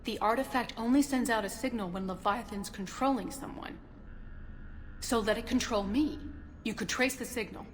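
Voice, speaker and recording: A woman's voice: fairly high pitch, normal speed, a little hiss or hum, close to an everyday voice. A woman voicing a driven, stubbornly brave civilian in a video game, speaking earnestly and insistently.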